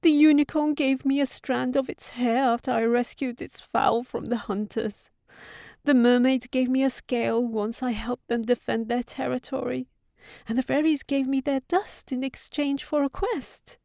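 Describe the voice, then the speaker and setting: A woman's voice: a little high, vocal fry, no room sound, clean studio sound. A young woman playing a sensible fairytale housekeeper secretly in love, talking tenderly and fretfully in an intimate fantasy audio roleplay. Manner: tender, fretful rambling with sighs and hushed asides.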